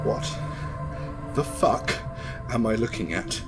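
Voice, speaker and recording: A man's voice: lowish voice, expressive, slow, gravelly, exaggerated voice. Guy acting earnest uneasy husband, voice moves up and down a lot, music behind, radio drama. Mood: shocked, questioning. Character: uneasy, questioning ordinary husband.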